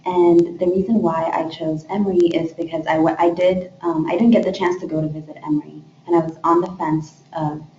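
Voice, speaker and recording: A woman's voice: fairly low pitch, fairly even intonation, brisk, few pauses, warm tone. A young woman from Ethiopia, a graduate student, introducing herself informally and a little hesitantly, restarting phrases. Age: young adult. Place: echoey room, away from the mic. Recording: distant, echoey, muffled, noisy room.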